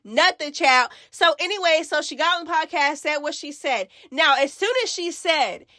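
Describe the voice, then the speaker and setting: High bright-voiced woman with a stuffy nose. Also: moderate pace. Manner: chatty, animated, slangy, calls listeners girl and baby. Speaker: host of a reality TV gossip channel. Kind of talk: casual gossip recap.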